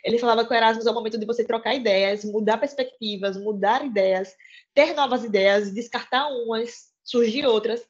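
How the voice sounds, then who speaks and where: Woman, young adult, chatty and earnest, fairly high voice, dull lo-fi call sound. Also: fairly even intonation, fairly quick. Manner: chatty, many fillers and self-corrections, earnest. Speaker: law student panelist. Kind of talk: informal talk to fellow students.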